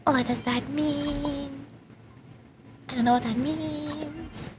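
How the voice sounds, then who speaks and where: High-pitched woman streaming a game. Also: slow, music in the background. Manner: expressive, playfully dramatic exclamations. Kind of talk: casual livestream gameplay commentary.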